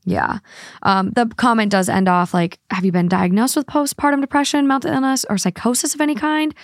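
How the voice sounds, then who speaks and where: Even-voiced woman reading posts aloud. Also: on the high side, brisk, no echo, studio quality. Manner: reads long posts aloud in an even, steady voice. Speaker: podcast co-host.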